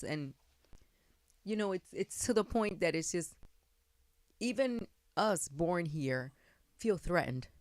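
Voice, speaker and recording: Female podcast host, emphatic and heartfelt, low voice. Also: dry recording. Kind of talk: interview conversation.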